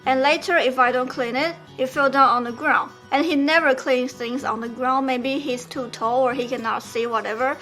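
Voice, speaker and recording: A woman's voice: high-pitched, steady tone, normal speed, few pauses, sharp tone, faint music behind. A young woman from Taiwan, teasing and chatting casually with her partner. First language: Mandarin Chinese. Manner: teasing, switches freely between English and Mandarin. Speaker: vlog co-host. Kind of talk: casual couple chat.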